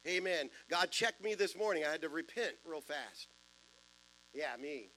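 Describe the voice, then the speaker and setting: A deep-voiced man in his fifties preaching from a church pulpit, his tone bright and forceful yet pitched a touch high. He talks conversationally, mixing in self-deprecating jokes and asides. A faint electrical hum runs under the recording. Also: expressive, normal speed, low-quality recording. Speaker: pastor. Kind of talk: sermon. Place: church sanctuary.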